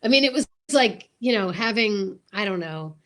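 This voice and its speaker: A woman's voice: medium pitch, lively intonation, moderate pace. Emphatic, enthusiastic woman chatting as host. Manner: enthusiastic, emphatic, repeats words for stress. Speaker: host of a radio drama group's live chat. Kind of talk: casual chat.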